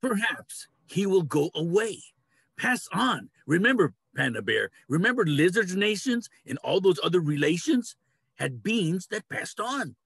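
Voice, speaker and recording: An older man playing a large, weary walrus who grumbles deliberately in a play reading over a video call. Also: sits high, sing-song, vocal fry, a bit bright, husky, no echo, clean studio sound, exaggerated voice. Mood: grave. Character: large, weary old walrus on an animal council. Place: small cluttered room at home.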